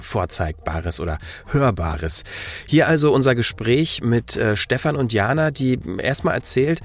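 A man presenting and interviewing as a podcast host. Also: lowish voice.

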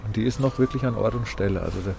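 Middle-aged male local guide, lowish creaky voice, informal storytelling, recorded outside with clear background noise. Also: racing, dark tone. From Lauterbach, Hesse, Germany. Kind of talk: casual explanatory storytelling. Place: outdoors on a walk through town. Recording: noisy outdoor recording, traffic ambience.

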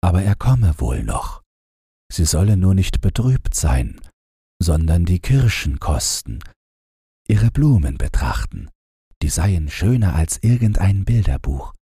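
A man narrating a bedtime fairy tale as an audiobook reader, calm and unhurried, with a low, husky voice. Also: dry recording, clean studio sound. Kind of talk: scripted fairy-tale narration for falling asleep.

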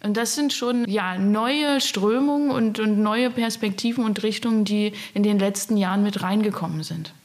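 A woman's voice: mid-range voice, normal speed, few pauses, a little mellow. A woman giving conversational interview answers as a self-help organisation worker.

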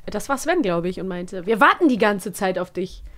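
A woman's voice: medium pitch, animated, moderate pace, a bit bright. A woman hosting a casual studio podcast interview, attentive and affirming in conversation. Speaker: podcast host.

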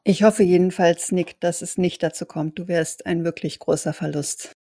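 A woman playing an observant, wary vampire scout in an audio drama, stretching words for deliberate emphasis. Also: lowish voice, steady tone, warm tone, dry recording, clean studio sound. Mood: concerned. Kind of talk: improvised tabletop role-play audio drama.

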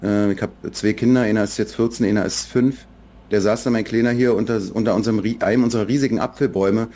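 A man in his forties from Berlin with a low voice talks things over in a relaxed podcast chat. He speaks thoughtfully, often pausing on fillers and starting sentences over. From Berlin, Germany. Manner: reflective, many fillers and restarts. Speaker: children's book author, guest.

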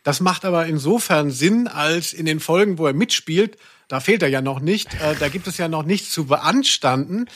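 A male podcast host with a somewhat high-pitched voice. He chats humorously in long-winded sentences, with a mock-serious manner. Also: animated, moderate pace, fairly bright tone.

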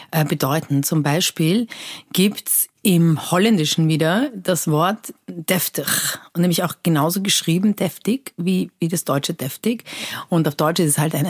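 Low-voiced Persian-born woman, dry sound. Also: slightly rough, dry recording. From Iran. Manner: animated, lively storytelling with many fillers. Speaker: cabaret artist guest. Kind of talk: casual interview chat.

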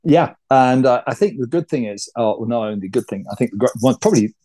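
Mellow-toned man, measured and hesitant. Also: medium pitch, lively intonation. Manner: measured, hesitant with many fillers. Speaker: co-host. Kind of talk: casual interview chat.

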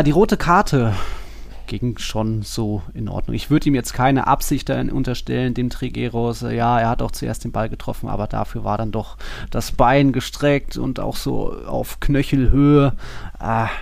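A man with a noticeably creaky voice chats about football as a podcast co-host. His phrasing is loose and colloquial, and he is recorded dry, without any echo. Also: mid-range voice, moderate pace, a little mellow. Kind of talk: casual football chat.

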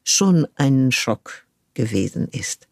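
An older woman from France, speaking thoughtfully as an interview guest and pausing often to search for the right word. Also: very deep voice, expressive, darkish tone, no echo, clean studio sound. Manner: thoughtful, searching for words with frequent pauses. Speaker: guest, cultural manager. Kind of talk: reflective conversational interview.

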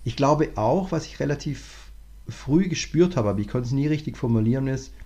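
A man, a management consultant, talking through his career in a relaxed interview, thinking aloud with many restarts. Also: medium pitch, brisk. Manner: thoughtful, many fillers and restarts. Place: lives in Cape Town, remote interview.

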